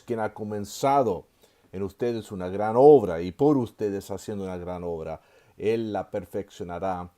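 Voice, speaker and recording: A man in his sixties, from Chile, teaches a recorded seminary course. His voice sits a little low and sounds warm and mellow. He talks at an easy pace, fills his pauses with soft "eh" and "ah" sounds, and slips in a gentle joke now and then. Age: 60s. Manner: unhurried, full of filler sounds and restarts; gently joking aside. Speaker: seminary professor. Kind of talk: online course lecture.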